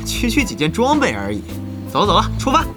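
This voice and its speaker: A man's voice: sits high, huge pitch swings, quick pace, music in the background. An actor playing a young male online gamer in an audio drama, chummy and bargaining, easily exasperated and full of interjections. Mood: breezy, persuasive. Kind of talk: Chinese audio drama acting.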